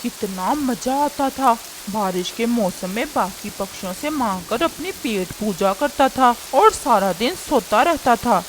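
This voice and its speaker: A woman's voice: a little high, a little hiss or hum, poor audio. A woman voicing a whiny, hapless cartoon crow. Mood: grumbling. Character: hapless male cartoon crow, lazy, henpecked, eager to please. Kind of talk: Hindi cartoon character acting.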